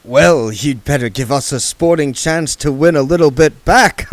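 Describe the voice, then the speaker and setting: A man voicing a falsely friendly male card cheat with a flattering manner. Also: on the high side, measured pace, no room sound, pitched above the performer's own voice. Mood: oily. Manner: oily, flattering.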